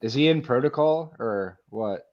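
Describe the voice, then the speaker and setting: A man's voice: medium pitch, lively intonation, a little slow. A man co-hosting a sports podcast, talking hockey as an opinionated, blunt fan in casual chat. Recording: dull lo-fi microphone sound.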